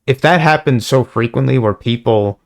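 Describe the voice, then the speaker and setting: A young man with a slightly hoarse voice, rambling casually in a monologue, full of fillers, restarts and swearing. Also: medium pitch. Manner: halting, many fillers and restarts; casual and profane. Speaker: video response creator.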